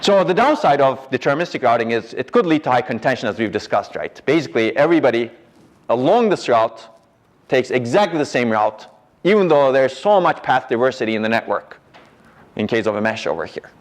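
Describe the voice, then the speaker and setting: A middle-aged man teaching in a university lecture hall, walking and pointing as he explains. He talks in a patient, explanatory way, often pausing to ask "right?" and restarting his sentences. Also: mid-range voice, lively intonation.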